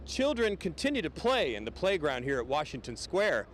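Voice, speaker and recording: Lively American male news reporter, some noise. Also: a little high, normal speed. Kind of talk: scripted news report narration.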